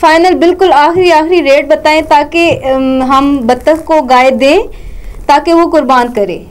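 High-pitched young Pakistani woman hosting. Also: a little slow. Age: young adult. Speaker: host. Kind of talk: hosting a comic puppet show. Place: TV studio.

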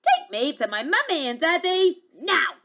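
A woman playing a bossy, spoilt little princess in a storybook, whiny and demanding, with drawn-out shouts. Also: very high voice, big pitch swings, voice pushed up, heavily acted. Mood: sulky, insistent.

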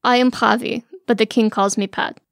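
A woman narrator acts out an old Russian maidservant in a storybook tale. She is motherly and weary, speaking plain broken English with a thick accent. The sound is dry and close, with a creaky edge. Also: on the high side, fairly even intonation, moderate pace, dry recording. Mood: gentle, concerned.

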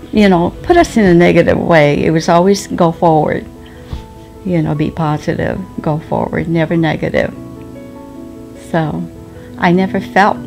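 Slow, gravelly-voiced older Japanese-born woman. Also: on the low side, a little mellow. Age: 70s. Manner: hesitant, many ums and restarts. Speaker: interviewee. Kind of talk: slow reflective recollection. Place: sunlit living room at home.

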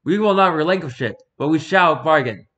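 A man's voice: on the high side, fairly quick, a bit bright, dull audio, lightly acted. A man voicing another man who speaks to a goddess in a formal, courtly way. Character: dreadlocked man addressing a goddess like royalty.

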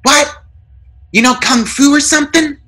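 A man voicing an aggressive, snide burglar in a short story, yelling in indignant outbursts. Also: very high voice, moderate pace, voice pushed up. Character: loud, exasperated male burglar, snide and aggressive.

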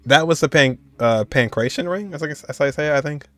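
A man's voice: fairly high pitch, a little fast, fairly bright tone. A man in his twenties giving casual, off-the-cuff reaction commentary in a hesitant, noncommittal way. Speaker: reaction video host.

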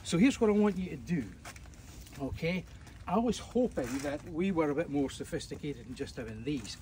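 Scottish preacher outdoors, a bit distant. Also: male voice, on the high side, animated, moderate pace. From Scotland. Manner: warm, joking, conversational preaching with fillers. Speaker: evangelist. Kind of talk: outdoor sermon to a tour group. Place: outdoors at ancient ruins. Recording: distant mic outdoors, faint background noise.

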